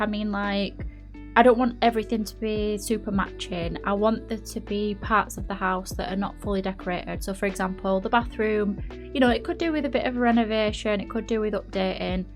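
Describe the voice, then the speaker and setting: A woman chats through a game build voice-over in a mid-pitched voice, a little nasal from a blocked nose. She rambles and doubts herself, full of fillers. Quiet music plays under her voice. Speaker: YouTuber narrating a game build. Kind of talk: casual chatty voice-over. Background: faint background music.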